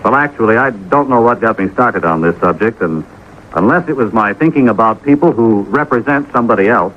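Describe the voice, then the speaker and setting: A man's voice: lowish voice, lively intonation, fairly quick. An American man giving a folksy, conversational scripted public service message as a 1950s radio announcer. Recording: dull, slightly noisy old radio recording.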